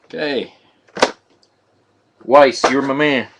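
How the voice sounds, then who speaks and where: A man hosting a live card break on a stream, talking viewers through each pull in a flat, monotone way. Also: medium pitch, extremely slow, noisy background. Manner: monotone, says so himself; chatty, addresses viewers as guys. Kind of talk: casual live-stream commentary.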